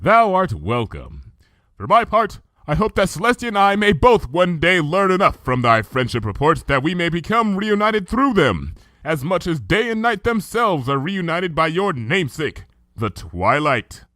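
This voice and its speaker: Male reader doing regal archaic pony princess, lifted high, swooping delivery. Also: big pitch swings, moderate pace, bright, forceful tone, no room sound. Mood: solemn. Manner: formal old-fashioned thee-and-thou speech. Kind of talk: fan fiction audiobook reading.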